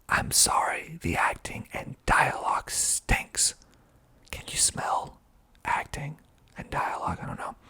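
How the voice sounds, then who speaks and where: A man whispering breathily in ASMR style into a close mic, reading aloud slowly and deliberately with dry asides. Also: on the low side, bright, forceful tone, husky. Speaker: filmmaker and ASMR video host. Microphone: close mic with pop filter.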